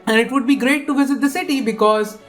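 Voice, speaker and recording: A woman from India, hosting a casual, excitable and opinionated game preview and often restarting her sentences. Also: on the high side, fast, soft background music. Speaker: gaming video host.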